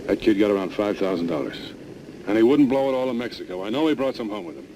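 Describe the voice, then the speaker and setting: A man's voice: lowish voice, fast talker, noisy background, natural voice. An actor playing a hard, scheming gunman in a television Western. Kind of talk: television drama acting.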